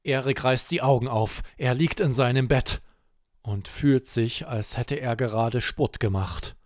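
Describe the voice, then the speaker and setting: A man hosting a children's podcast, narrating a scripted bedtime story in an expressive, deliberate way. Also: medium pitch, no room sound, clean studio sound.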